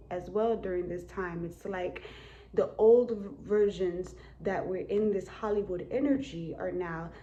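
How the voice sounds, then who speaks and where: A woman speaks as a psychic tarot reader, addressing her audience in an improvised, halting flow. Her pitch sits in the middle range, and she often punctuates points with short checking tags. There is noticeable noise behind her voice. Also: dark tone, rough recording.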